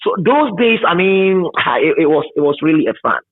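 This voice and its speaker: A man's voice: a little high, big pitch swings, moderate pace. A man with a Ghanaian accent reminiscing in an interview, emphatic and repetitive with searching starts, over a tinny call line. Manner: emphatic, repeats words, hesitant searching starts. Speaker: radio presenter being interviewed. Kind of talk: reminiscing in conversation.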